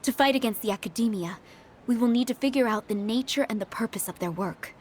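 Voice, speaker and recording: An actress voicing a mysterious, composed woman prophet in a video game, speaking in a measured, authoritative manner. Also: on the high side, darkish tone, slight background noise, close to an everyday voice. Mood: composed, probing.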